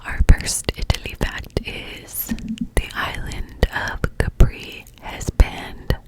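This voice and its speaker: Canadian woman whispering asmr right up on the mic, breathy, slow and drawn out. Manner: close breathy whisper with mouth sounds, lively in pitch. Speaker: ASMR creator. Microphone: close-up mic.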